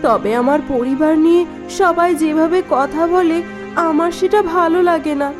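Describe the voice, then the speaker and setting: A woman acts out a meek young bride in a cartoon family story. She sounds gentle, patient and earnest, her voice high, with faint music behind. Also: normal speed. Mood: hurt. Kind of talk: cartoon voice acting.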